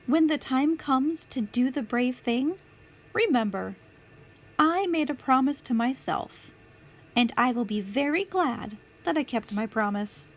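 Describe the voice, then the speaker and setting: A woman reading a picture book aloud as a storytime host, with deliberate, clear phrasing for young children. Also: sits high, lively intonation. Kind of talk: children's read-aloud narration.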